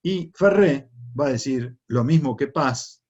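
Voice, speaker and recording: A man's voice: medium pitch, lively intonation, measured pace, a little mellow, dull audio. A man with an Argentine accent teaching a history class, lecturing conversationally and often checking in with rhetorical questions. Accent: Argentine Spanish.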